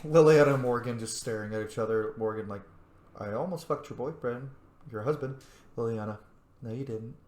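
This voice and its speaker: Man, casual gameplay commentary, medium pitch, informal, reacting to characters, echoey room. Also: fairly quick, a little mellow. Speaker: gaming channel host playing a roleplay.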